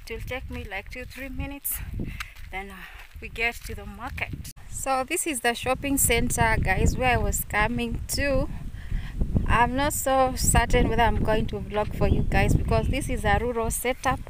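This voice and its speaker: A woman's voice: on the high side, steady tone, normal speed, very noisy. A middle-aged Kenyan woman vlogging as she walks along a rural road, chatting to her viewers as "guys", recorded on a phone held at arm's length. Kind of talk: walking vlog chat. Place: rural roadside outdoors.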